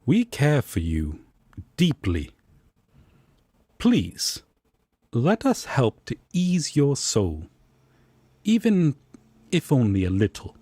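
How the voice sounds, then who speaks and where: A man's voice: mid-range voice, wildly swooping pitch, slow pace, long pauses, warm tone, no room sound, exaggerated voice. A man playing a formal, tender but easily affronted angel roommate in a romantic audio roleplay, speaking in earnest, old-fashioned phrases.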